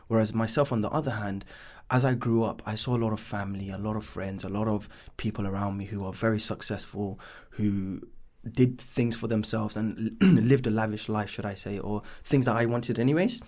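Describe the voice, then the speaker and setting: A man in his thirties with a London accent, speaking in a fairly low voice. He talks as a podcast guest and tells his story loosely and casually. His sentences drift, with many ums and repeated asides like "should I say". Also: steady tone, brisk, a little mellow. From born in Bangladesh, raised in East London. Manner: rambling, leans on "should I say" and "um". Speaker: business founder guest.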